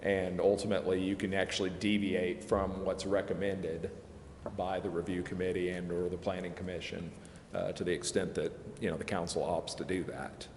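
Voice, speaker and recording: A man explaining procedure at a public meeting in an informal, halting, hedging way, like an adviser to a council. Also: low-pitched, flat delivery, darkish tone. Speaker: legal adviser to a city council. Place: indoor council chamber. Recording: echoey, reverberant council chamber with background noise.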